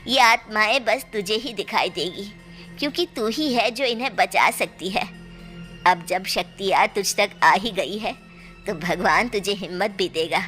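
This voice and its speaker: A woman playing a wise, caring elderly grandmother in an animated horror story, speaking earnestly and reassuringly. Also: medium pitch, a little slow, soft background music, close to an everyday voice.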